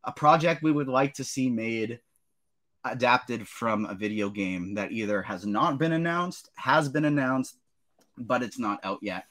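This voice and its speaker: A man's voice: medium pitch, measured pace, a bit bright. A man hosting a podcast in a casual, friendly and encouraging way. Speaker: podcast host. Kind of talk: casual chat.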